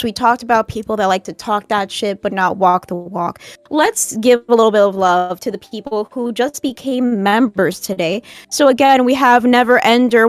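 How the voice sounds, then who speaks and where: A woman's voice: fairly high pitch, no room sound. A woman co-hosting a casual livestream in an upbeat, teasing way, reading out new members' names. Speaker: co-host.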